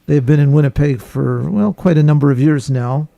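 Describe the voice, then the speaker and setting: A man hosting a radio interview, welcoming listeners and guests in a formal, deliberate way. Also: fairly high pitch, vocal fry, mellow, no room sound, muffled recording.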